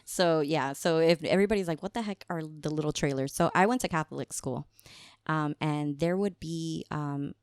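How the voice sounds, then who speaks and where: A woman's voice: low voice, moderate pace, a little mellow, clean tone, no echo, clean studio sound. A woman, chatting casually as a podcast guest, often laughing and slipping in Spanish phrases. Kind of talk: casual conversation.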